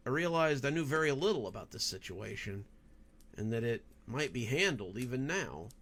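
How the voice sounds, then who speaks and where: A man's voice: medium pitch, very animated, moderate pace, fairly bright tone. Male narrator, mid-range voice, reading first-person horror fiction like an audiobook.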